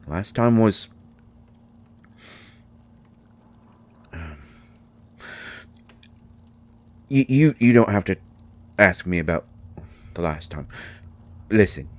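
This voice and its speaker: A man playing a tiny, gruff but shy and caring potion-brewing healer in an audio drama, with a mottled British accent and a halting, stammering delivery. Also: sits low, lively intonation, dry recording. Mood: evasive, hesitant. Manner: halting, stammering, mumbling asides. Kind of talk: intimate roleplay audio drama monologue.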